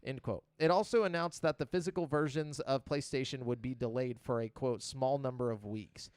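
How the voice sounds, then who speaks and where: Smooth-voiced man hosting a casual podcast. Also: medium pitch, moderate pace, darkish tone, no room sound. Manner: casual, joking, sweary. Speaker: podcast host. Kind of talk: casual podcast chat, reading gaming news.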